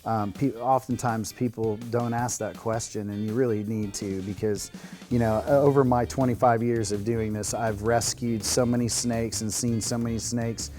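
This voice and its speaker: A man's voice: on the low side, fairly even intonation, moderate pace, mellow, soft background music. A middle-aged man, talking informally and earnestly as an expert guest giving advice. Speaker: guest snake expert and rescuer. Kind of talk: informal educational interview talk.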